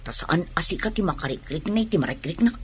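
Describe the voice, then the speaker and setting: A woman's voice: lowish voice, expressive, fast, a little hiss or hum, lightly acted. A woman with a Filipino accent playing an unhappy young pregnant wife, complaining and sulky, in a radio drama. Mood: complaining, irritable. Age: young adult. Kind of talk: Ilocano radio drama acting.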